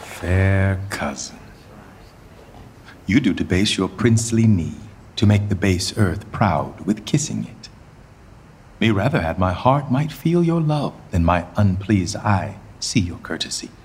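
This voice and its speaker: A man's voice: low voice, lively intonation, a little slow, a little mellow, a little hiss or hum. A man playing a proud, doomed king in a Shakespeare radio play, mocking and wry, dropping to whispers and flaring up. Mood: bitter, ironic. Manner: mocking, wry, swings between whispers and outbursts. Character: doomed proud male king, bitter and theatrical.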